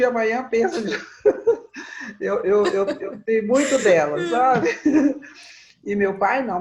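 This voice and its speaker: A woman in her sixties telling family stories in a relaxed conversation, laughing often and repeating words as she starts her thoughts. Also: on the high side, highs cut off. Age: 60s. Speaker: guest storyteller. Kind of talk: reflective conversational storytelling.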